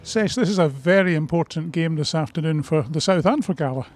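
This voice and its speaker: A man's voice: fairly high pitch, brisk. A man working as a sports radio interviewer, putting questions before a match.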